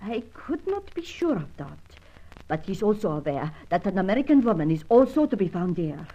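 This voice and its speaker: An actress playing a distressed, fearful European widow who confides in formal, careful, melodramatic phrases in a radio adventure serial. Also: female voice, medium pitch, sing-song, normal speed, mellow, highs cut off. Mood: ominous.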